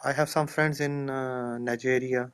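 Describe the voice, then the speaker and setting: A man chatting casually online in halting, non-native English, often repeating his phrases. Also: medium pitch, level tone, smooth.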